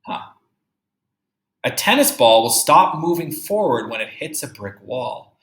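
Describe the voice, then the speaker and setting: A male grade-school teacher reads to children in a bright, highly expressive voice. He reads with deliberate emphasis, then muses aloud and puts questions straight to his young listeners. The sound is roomy and echoey. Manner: musing aloud, addressing children directly with questions. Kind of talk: read-aloud lesson with think-aloud commentary.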